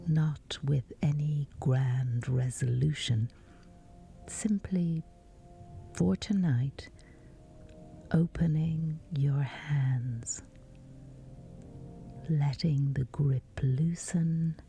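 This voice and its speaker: Very slow, hushed woman guiding sleep meditation. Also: deep voice, gravelly. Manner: hushed, whispery, unhurried delivery with long pauses. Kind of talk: guided sleep meditation, scripted narration.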